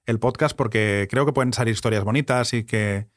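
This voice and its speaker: Guy cohosting a podcast, talks fast, chatty, mid voice, studio mic. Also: mid-range voice, no echo. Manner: fast, animated storytelling. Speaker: podcast co-host. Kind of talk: casual chat. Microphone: studio microphone.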